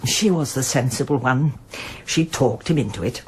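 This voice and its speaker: A woman's voice: very deep voice, expressive, moderate pace, a little mellow, husky, heavily acted. An actress playing a reserved, wistful older woman, a former pianist, in a radio drama, speaking with hesitant understatement. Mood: subdued, confiding. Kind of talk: radio drama acting, monologue and dialogue.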